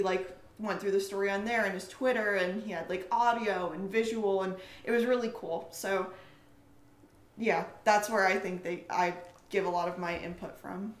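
A young woman talks casually in an echoey room. She has a mid-range voice and tells things with keen, emphatic enthusiasm, like a guest on an informal podcast. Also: mid-range voice. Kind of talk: casual chat.

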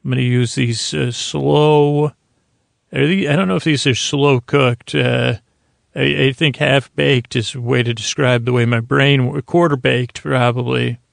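A middle-aged man with a creaky voice hosts a sleep podcast, giving a gentle, soothing bedtime monologue that meanders, halts and interrupts itself. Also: mid-range voice, fairly even intonation, unhurried, no room sound, studio quality.

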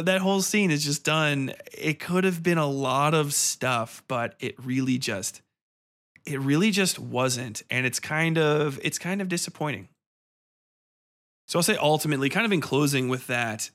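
A man's voice: on the high side, a bit bright. A man hosting a review podcast, talking casually and off the cuff in a blunt, colloquial way with plenty of swearing.

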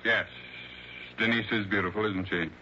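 An actor playing an older, blind, wealthy mystery writer, courteous and talkative with halting hesitations, in a vintage radio drama. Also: male voice, on the low side, fairly even intonation, sharp tone. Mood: wistful. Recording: muffled, noisy old radio broadcast.

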